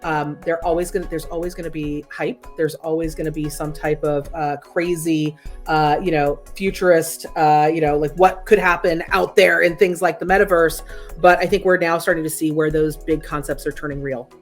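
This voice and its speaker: A woman's voice: sits low, steady tone, moderate pace, faint music behind. A woman co-hosting a tech news show, chatting casually and animatedly with plenty of fillers. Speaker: co-host. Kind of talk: casual talk-show chat.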